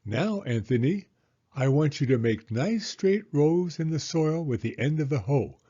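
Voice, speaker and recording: Man voicing a lilting old village grandmother, high-pitched. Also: animated, measured pace, warm tone, slightly rough, muffled recording. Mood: gently commanding. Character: kindly old Italian woman, orderly village healer and gardener.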